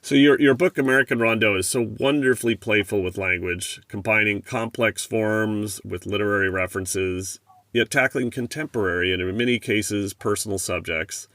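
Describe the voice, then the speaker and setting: A man hosts a poetry podcast and puts a prepared question to his guest. His voice sits fairly low and sounds dry and close, with a measured, admiring delivery. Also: a bit bright, no echo. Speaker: podcast host.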